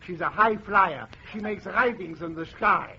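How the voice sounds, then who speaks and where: High-voiced, cheeky foreign contestant. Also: male voice, a little slow, exaggerated voice. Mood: cheeky, smug. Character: cheeky, literal-minded foreign male contestant, smug newlywed. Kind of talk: 1940s radio comedy acting. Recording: noisy muffled old radio recording, loud studio audience.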